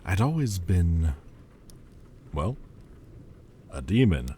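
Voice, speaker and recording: A brooding ancient demon lord, as a man acts him out speaks in an extremely low, mellow voice. He talks slowly and haltingly in a roleplay monologue. A faint hum of ambience sits behind him. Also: very animated, full character voice. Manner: slow, quiet, halting confession. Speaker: voice actor.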